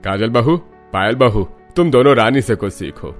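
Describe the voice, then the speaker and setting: A man's voice: mid-range voice, animated, normal speed, faint music behind, close to an everyday voice. A man voicing an approving older father-in-law in a cartoon, hearty and emphatic. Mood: delighted.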